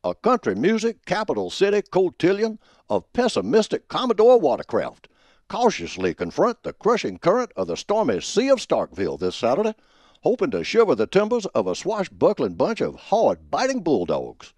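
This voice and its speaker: A man performing as a folksy, pompous old football professor, giving grandiloquent scripted comic radio commentary in a husky voice. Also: fairly high pitch, huge pitch swings, moderate pace, darkish tone, no room sound. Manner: folksy, grandiloquent alliterative delivery. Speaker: host of a college football prediction show.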